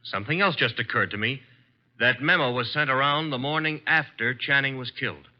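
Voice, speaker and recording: A man's voice: medium pitch, a little fast, lightly acted. Man playing wisecracking American sidekick, muffled old radio. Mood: sharp, businesslike. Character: brisk, wisecracking male detective's assistant. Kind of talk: old-time American radio drama acting. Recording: dull vintage radio broadcast recording.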